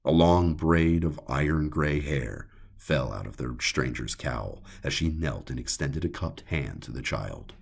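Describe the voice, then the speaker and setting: Horror narrator: male, extremely low and full of gravelly fry, dramatic delivery in broken phrases. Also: lively intonation, measured pace, few pauses. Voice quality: gravelly, husky. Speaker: audiobook narrator. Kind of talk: scripted horror story narration.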